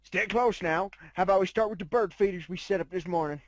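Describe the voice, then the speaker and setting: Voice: medium pitch, fast talker, lightly acted. A performer voicing an easygoing old male pony game warden with a folksy, casual manner. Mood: folksy, obliging.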